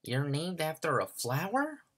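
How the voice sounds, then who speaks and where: A haughty little know-it-all schoolgirl out of a storybook, played by a man. The voice is raised high and swoops with scorn. Every phrase comes out pointed and taunting. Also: wildly swooping pitch, unhurried, a bit bright, slightly rough, heavily acted. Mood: incredulous.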